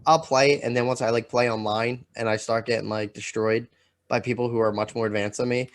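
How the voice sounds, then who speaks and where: A man co-hosting a podcast in casual chat, talking in a halting, rambling way full of filler words. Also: mid-range voice, flat delivery. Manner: halting, rambling delivery peppered with "like". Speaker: podcast co-host.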